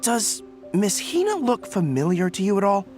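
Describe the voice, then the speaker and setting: A woman's voice: mid-range voice, sing-song, moderate pace, darkish tone, faint music behind, close to an everyday voice. A woman playing a polite, earnest, thoughtful character in a video game, speaking tentatively. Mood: puzzled.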